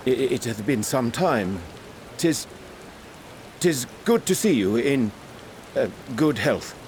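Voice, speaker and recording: An actor playing a guilt-ridden young scholar in a fantasy game, solemn and halting, with formal archaic diction. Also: male voice, medium pitch, sing-song, darkish tone, slight background noise. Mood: awkward. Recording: rain and music behind. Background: rain, music.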